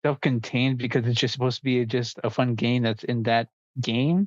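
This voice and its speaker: A man's voice: medium pitch, level tone, normal speed, no echo. A man co-hosting a movie podcast, explaining things at length and hedging often. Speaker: podcast co-host. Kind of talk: casual movie review chat. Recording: dull, dry sound.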